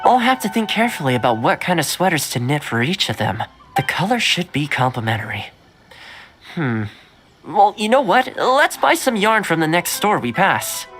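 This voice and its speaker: An actor playing a kind, chatty young male servant who loves stray animals, speaking gently and conversationally in a video game. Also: fairly high pitch, very animated, normal speed, soft background music. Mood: fond, cheerful. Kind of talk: video game voice acting, storytelling dialogue.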